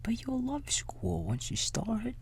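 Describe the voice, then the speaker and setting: A man voicing a gentle, reassuring mother raccoon in a storybook, whispering in a soothing, unhurried manner. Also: very high voice, wildly swooping pitch, slight background noise, voice pushed up, heavily acted. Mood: gentle reassurance.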